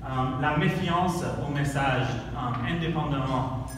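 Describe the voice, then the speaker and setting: A man's voice: mid-range voice, fairly bright tone. English-speaking man presenting in a distant, echoey hall. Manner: halting, many fillers, searches for words. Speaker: country director presenting at a workshop. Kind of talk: conference slide presentation. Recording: echoey hall from far off, noisy.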